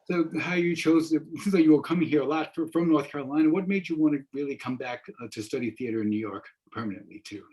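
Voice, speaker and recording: A man's voice: fairly high pitch, fast, hardly any pauses, darkish tone, breathy edge, poor audio. A middle-aged man hosting a discussion, encouraging and often stumbling over his words. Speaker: host, theater professor. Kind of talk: moderating a discussion. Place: wood-panelled room at home, indoors. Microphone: video call.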